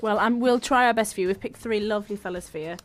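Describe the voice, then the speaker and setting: A woman's voice: mid-range voice, fast, soft background music. A young woman co-hosting a student radio dating show, chatty and encouraging. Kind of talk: casual radio chat.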